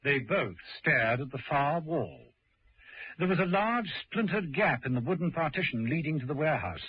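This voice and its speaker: A man narrates a scripted radio drama in a fairly high, animated voice. The recording is old, muffled and lightly hissy. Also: moderate pace, breathy edge, no room sound.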